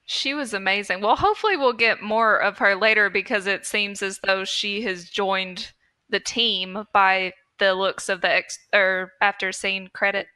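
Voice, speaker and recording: A woman's voice: medium pitch, normal speed, bright, forceful tone, clear, resonant tone. A woman co-hosting a casual movie review chat with informal, teasing banter. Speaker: podcast co-host.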